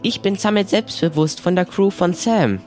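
A man's voice: sits high, normal speed, darkish tone, soft background music, lightly acted. A man playing an eager, polite pirate crewman. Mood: obliging. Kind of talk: improvised audio play acting.

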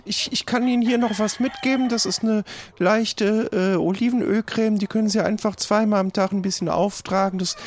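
A woman's voice: medium pitch, fairly even intonation, moderate pace, a little mellow, natural voice. A woman as hesitant young helper, noisy recording. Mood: helpful.

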